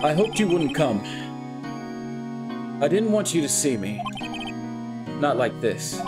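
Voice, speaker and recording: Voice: medium pitch, big pitch swings, takes it slowly, pitched below the performer's own voice. A reader voicing a proud, cold young male prosecutor in a game read-through, with music underneath. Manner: cold, clipped, bitter. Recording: background music.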